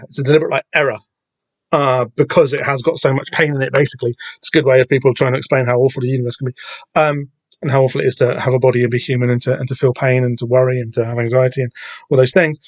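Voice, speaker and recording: A man's voice: medium pitch, no echo. A man hosting a solo comic book podcast, rambling and digressive with lots of fillers and self-corrections. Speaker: podcast host. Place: home room with door open on a summer day.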